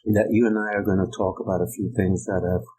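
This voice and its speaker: Deep husky-voiced man, halting. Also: little pitch movement, mellow, rough recording. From Guyana. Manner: slow and halting, many ums and uhs. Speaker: podcast host. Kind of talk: reflective personal-development monologue. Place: United States.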